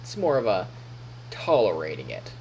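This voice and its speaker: Lively male streamer narrating a story. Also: low voice, moderate pace, creaky, slight background noise, rough recording. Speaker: live streamer reading a visual novel. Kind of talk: narration read aloud with casual asides.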